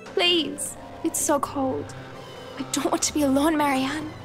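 A woman's voice: high-pitched, steady tone, measured pace, music in the background, pitched above the performer's own voice. A woman voicing an eerie undead ghost woman from a horror game, plaintive and needling. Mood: pleading.

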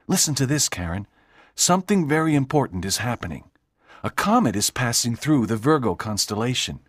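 A man's voice: mid-range voice, sing-song, brisk, dry recording, studio quality. A man playing an eager, earnest teenage boy who explains things in a dramatized audiobook. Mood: excited.

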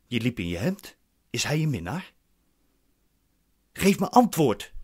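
Radio play defendant: impatient, commanding, questioning, widely swinging intonation. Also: male voice, mid-range voice, sing-song, measured pace, a little mellow, slightly rough. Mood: suspicious, impatient. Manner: curt, questioning. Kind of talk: radio drama acting.

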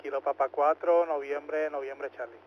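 A man's voice: a little high, steady tone, normal speed. A polite man checking in as an amateur operator over two-way radio. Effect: two-way radio transmission. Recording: muffled radio with loud static and clicks.

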